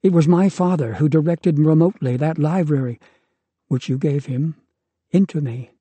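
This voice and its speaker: A man voicing a devoted young woman, reading her lines in an earnest, measured way. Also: a little high, mellow, husky, no echo.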